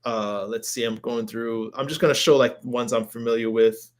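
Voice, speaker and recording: An enthusiastic man with a mid-pitched voice hosts a casual livestream as a health coach. He rambles as he browses, often repeating his phrases in an informal, chatty way. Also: fairly even intonation, moderate pace. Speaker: health coach hosting a live show. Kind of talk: casual livestream chat while browsing recipes.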